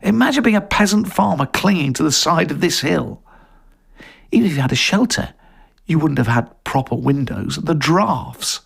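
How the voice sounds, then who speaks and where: A man's voice: a little high, animated, normal speed, creaky, breathy edge, heavily acted. A man voicing an enthusiastic middle-aged male walker in an audio story. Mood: musing.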